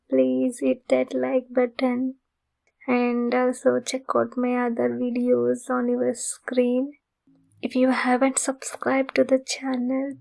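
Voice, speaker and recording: A woman's voice: high voice, creaky, dark tone. A woman hosting an ASMR channel, addressing her listeners directly in a sign-off style.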